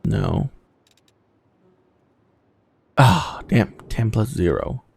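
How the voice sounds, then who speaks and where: Creaky-voiced man doing casual game commentary. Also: on the low side, huge pitch swings, very slow. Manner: thinking aloud, casually profane. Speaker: gaming video host.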